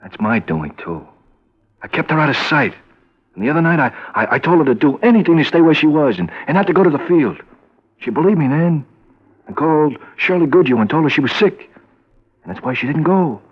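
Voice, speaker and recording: A small-time crook turned informant in a fifties radio crime drama. His American voice sits fairly high, nervous and halting, pressing each point with guilty urgency. The recording is a muffled vintage broadcast. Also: very animated, slightly rough. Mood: anguished, guilty. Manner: agitated, halting, repeats himself insistently.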